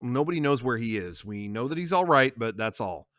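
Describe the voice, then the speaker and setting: A storyteller voicing a calm family lawyer who gives smooth, evasive reassurances. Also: medium pitch, fairly quick, dry recording, studio quality, lightly acted.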